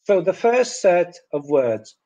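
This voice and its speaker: Guy teaching english pronunciation, slowish and halting, kinda soft mellow tone, higher voice. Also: big pitch swings, lo-fi sound. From Poland. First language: Polish. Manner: hesitant, halting delivery with repeated words. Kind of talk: webinar lecture with demonstrated example words.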